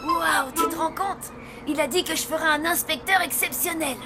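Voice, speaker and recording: A woman as a high-voiced eager zombie boy. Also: normal speed, over loud music, close to an everyday voice. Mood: thrilled. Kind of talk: French cartoon dub.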